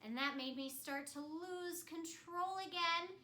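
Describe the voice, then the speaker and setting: A woman's voice: very high voice, unhurried, sharp tone. A woman telling a children's story in the first person as an ice queen, theatrical and speaking simply and directly to children. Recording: roomy echo.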